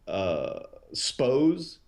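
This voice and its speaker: A middle-aged man, a guest on a video call from a small office, chatting in a relaxed, modest way with easy laughs. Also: medium pitch, extremely slow, creaky. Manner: relaxed, modest, laughs easily, hesitant with fillers. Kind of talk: casual interview chat.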